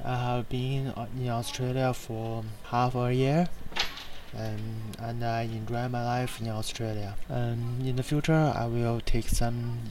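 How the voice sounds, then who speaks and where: A man from China, introducing himself haltingly in English class. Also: medium pitch, level tone. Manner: halting, repeating words. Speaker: English student. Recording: distant mic, noisy room.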